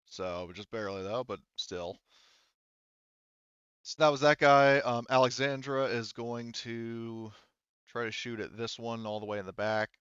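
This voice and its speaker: Guy gm-ing a tabletop rpg, clean lowish voice, thinks out loud and swears, kinda muffled lo-fi audio but no room echo. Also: clear, resonant tone. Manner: halting, thinking aloud, profane. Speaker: game master. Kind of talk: running a tabletop roleplaying game, improvised narration.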